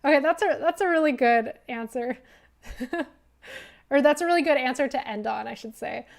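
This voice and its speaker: A young woman hosting a casual livestream Q&A as a wildlife biologist, rambling with lots of "like" and "um", her voice going hoarse. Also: sits high, moderate pace. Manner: rambling, heavy use of "like" and "um". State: losing her voice.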